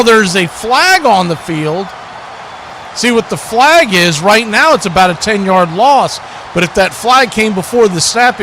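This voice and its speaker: A man doing live play-by-play football commentary for a stream, in clipped phrases that grow excited on big plays. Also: high-pitched, slight background noise.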